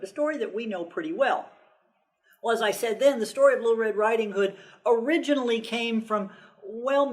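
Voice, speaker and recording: An American man hosting a children's library storytime, giving an educational talk in simple explanations with rhetorical questions. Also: on the high side. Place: public library children's section.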